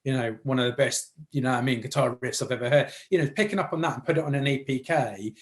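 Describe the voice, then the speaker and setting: A man's voice: medium pitch, fast talker. A man interviewing in a casual, informal and discursive way, often seeking agreement.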